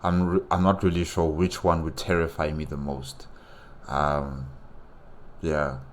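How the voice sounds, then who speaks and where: Very deep-voiced man, musing aloud. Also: level tone, slight background noise. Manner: musing, hesitant, pausing to think. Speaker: solo reaction video host. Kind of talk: casual off-the-cuff commentary.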